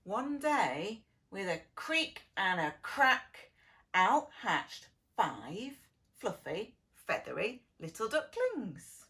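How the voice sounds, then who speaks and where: A woman reads to preschoolers as their teacher. Her pitch rises and falls freely and her pace is leisurely. She speaks in an exaggerated sing-song, pausing to put gentle questions to small children. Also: very animated. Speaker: preschool early years teacher reading a story. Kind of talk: children's storytelling, addressing preschoolers.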